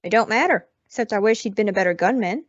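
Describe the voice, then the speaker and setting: A woman's voice: medium pitch, quick pace, dry recording, close to an everyday voice. A young woman acting a hard, vengeful old widow in a radio Western, curt and scornful. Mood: bitter, hard. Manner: curt, scornful, rustic grammar. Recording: dull, dry lo-fi recording.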